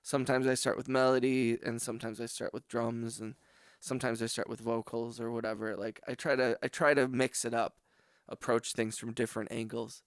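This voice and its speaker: A relaxed man talks to his chat during a late-night production stream, giving friendly shout-outs and wandering through half-finished thoughts. His voice sits at an ordinary middle pitch and sounds dry and close, with no room around it. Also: fairly quick, smooth, no echo. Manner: rambling, thinks aloud, often questions himself. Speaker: livestream music producer. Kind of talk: casual livestream chat. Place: home studio.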